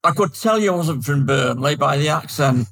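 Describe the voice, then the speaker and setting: An older man with a Manchester accent, chatting easily as a podcast guest and filling pauses with um. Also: on the high side, lively intonation, a bit bright. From Manchester, England. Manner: chatty, friendly, fills pauses with um. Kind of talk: casual chat.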